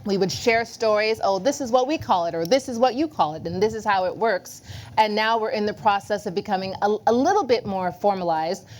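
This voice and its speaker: A woman's voice: mid-range voice, a little fast, darkish tone. A woman from Toronto introducing herself on a conference panel in a friendly way, with small restarts. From Toronto, Canada. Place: conference room.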